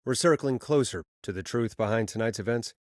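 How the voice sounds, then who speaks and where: A man voicing a quick-witted, earnest radio call-in host in a video game, speaking in a conversational, wry way. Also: mid-range voice, no echo, clean studio sound, lightly acted. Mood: showy on-air.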